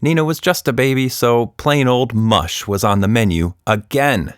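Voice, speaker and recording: A man's voice: medium pitch, normal speed, smooth, dry recording, studio quality. A man telling a story for children as its author, playfully teasing and speaking straight to the listener. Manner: animated, teasing, talks directly to the listener.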